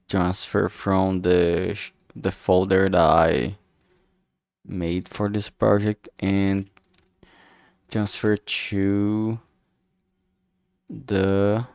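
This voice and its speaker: A streamer talking casually while working, thinking aloud and muttering in a halting way. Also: low-pitched, gravelly, darkish tone, dry recording, studio quality.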